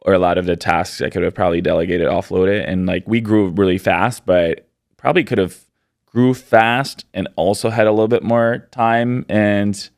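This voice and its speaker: Deep smooth-voiced man, laid-back podcast guest. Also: moderate pace, no echo, clean studio sound. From Poland. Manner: relaxed, rambling, many fillers. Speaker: podcast guest, business owner. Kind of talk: casual interview answers.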